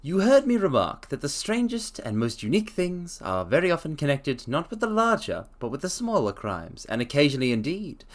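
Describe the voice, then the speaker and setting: A man's voice: fairly high pitch, huge pitch swings, fairly quick, few pauses. A man voicing a confident male Victorian detective who speaks in a precise, lecturing way. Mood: assured.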